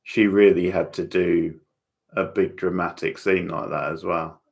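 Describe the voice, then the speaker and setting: A man's voice: sits low, level tone, measured pace, vocal fry. A man giving casual, opinionated commentary on a TV show as host of a live watchalong, chatting back to viewers.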